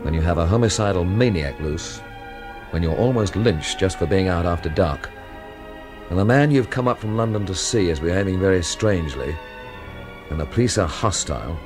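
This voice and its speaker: A man's voice: low-pitched, lively intonation, normal speed, over music. An actor playing a tough, wry London man who narrates and investigates in a radio mystery drama, with a sardonic, blunt way of talking. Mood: brooding, measured.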